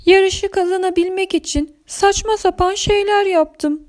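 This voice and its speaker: A woman's voice: very high-pitched, fairly even intonation, a little slow, voice pushed up. A woman voicing a remorseful cheating monster truck driver in a children's story, earnest and emotional. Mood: regretful, hopeful.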